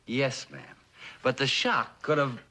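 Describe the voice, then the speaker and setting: A man playing an earnest, probing police lieutenant in a mid-century TV drama, speaking in a measured, questioning way. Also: mid-range voice, steady tone, creaky, sharp tone, heavily acted. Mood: polite, businesslike.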